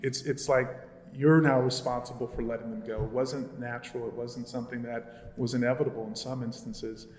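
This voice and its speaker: A man's voice: medium pitch, little pitch movement, a little fast, a little hiss or hum. Earnest man speaking in an echoey gallery. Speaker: archive curator and panelist. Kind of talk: public panel talk. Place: gallery event space. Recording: echoey gallery room with long reverb.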